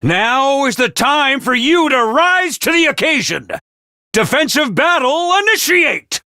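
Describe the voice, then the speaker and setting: A bombastic little mascot commander for a video game. The voice is high and bright, with enormous melodic swoops between chirpy cheer and sly menace. Delivery is theatrical and emphatic. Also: male voice, very animated, measured pace, no echo, clean studio sound, exaggerated voice. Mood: bombastic shouting. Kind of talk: animated video game voice acting.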